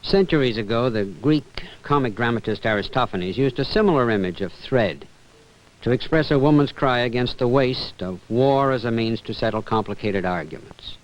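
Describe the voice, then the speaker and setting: A man narrates from a script in a formal, presentational style. His voice sits at a middle pitch, and the recording sounds dull and muffled. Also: animated, normal speed.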